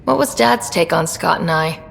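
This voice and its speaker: A young female space explorer from a video game, wry and curious. Her casual voice is lowish with a gravelly creak, and it rings in a long reverb. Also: animated, normal speed, faint music behind. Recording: long reverb, low rumbling noise.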